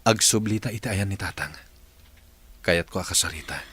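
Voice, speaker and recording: A man's voice: deep voice, fairly quick, fairly bright tone, breathy edge, heavily acted. A man playing an earnest adult son in a dramatized family story, with an Ilocano accent. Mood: pleading. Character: earnest adult son reconciling with long-lost parents. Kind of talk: dramatized Ilocano radio-style story acting.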